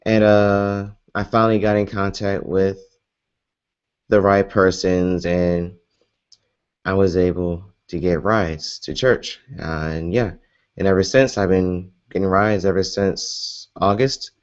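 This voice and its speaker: A man's voice: sits low, steady tone, slow pace, smooth, highs cut off. A young American man chatting casually in a live Q&A vlog, rambling with many fillers and restarts. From United States. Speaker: vlogger.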